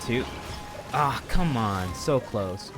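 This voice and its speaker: A man's voice: mid-range voice, lively intonation, slow. A man doing casual live game commentary as a streamer, muttering and exclaiming to himself as he plays. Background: game music.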